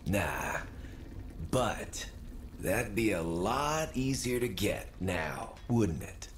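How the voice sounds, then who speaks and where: An actor playing a weary, sardonic, battle-worn young man in a video game cutscene, speaking in halting, laconic phrases. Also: lowish voice, sing-song, exaggerated voice. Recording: roomy echo with faint noise.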